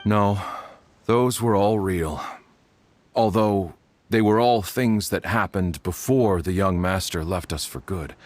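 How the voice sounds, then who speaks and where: Low-voiced, grave family doctor. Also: male voice, a little mellow, no echo. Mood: solemn, regretful. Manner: formal, measured, solemn. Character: grave, measured male household doctor bearing sad news. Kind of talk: video game voice acting.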